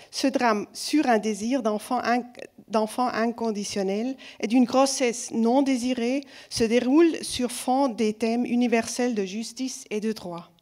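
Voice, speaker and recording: A woman with a foreign accent, not a native French speaker, giving an award speech as a jury member in a halting manner. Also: on the high side, a little mellow. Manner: halting, searching for words. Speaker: festival jury member announcing a prize.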